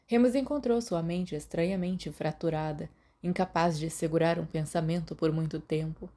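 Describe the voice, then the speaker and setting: A woman with a Brazilian accent, calmly reading a fan fiction audiobook aloud. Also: low voice, moderate pace. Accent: Brazilian Portuguese. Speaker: podfic reader.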